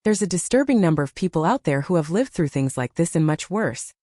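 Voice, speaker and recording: A woman's voice: on the low side, big pitch swings, brisk, warm tone, dry recording, clean studio sound. A woman narrating a reddit story aloud, reading out a long numbered list and lifting her voice on each number.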